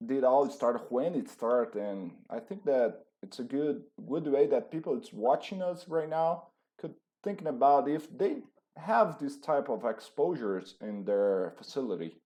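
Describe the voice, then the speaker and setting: Brazilian man, halting accented English. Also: mid-range voice, expressive, warm tone. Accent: Brazilian Portuguese. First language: Portuguese. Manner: halting, searching for words in English. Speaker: host. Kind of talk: live webinar discussion.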